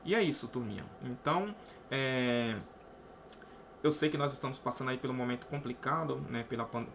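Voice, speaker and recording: A man's voice: medium pitch, a little fast, a bit bright. Brazilian man, math teacher, casual lesson, step-by-step explanation, faint background hiss. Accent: Brazilian Portuguese. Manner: explains step by step, often adds "né?".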